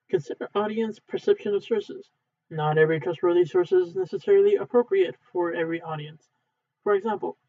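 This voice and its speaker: A man with a high-pitched voice reads instructional textbook material aloud. He goes haltingly, a few words at a time, like an amateur practising. The recording is narrow and muffled, like a phone line. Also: warm tone. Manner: halting, word-by-word reading with stumbles. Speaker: amateur reader, channel owner. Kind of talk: reading a textbook aloud, unedited.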